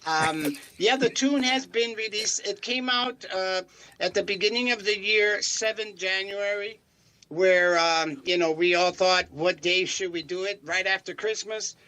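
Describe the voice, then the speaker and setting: An older singer from Chicago answering interview questions in a rambling way, with many fillers and restarts. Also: male voice, high voice, unhurried, bright, forceful tone, rough recording. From Chicago, United States. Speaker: singer guest.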